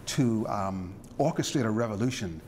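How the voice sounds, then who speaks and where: A man interviewing a guest, speaking in a reflective, halting way with frequent fillers and restarts. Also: fairly low pitch, animated, a little mellow, slight background noise.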